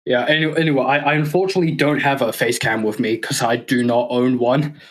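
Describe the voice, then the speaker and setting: A man's voice: medium pitch, steady tone, brisk. Hesitant young New man. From New Zealand. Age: late teens. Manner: hesitant, stammering restarts. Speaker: student. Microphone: video call.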